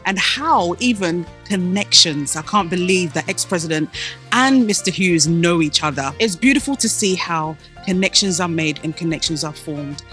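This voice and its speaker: A woman's voice: lowish voice, animated, normal speed, fairly bright tone. Woman host, bubbly chatty, music playing behind. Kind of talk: casual chat.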